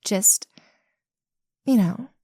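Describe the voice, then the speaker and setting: A woman's voice: drawn-out words, warm tone, dry recording. Woman doing a sassy popular cheerleader girl, smug n pushy, takes her time, dry close sound, audio roleplay. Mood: impatient. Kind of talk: romantic audio roleplay acting.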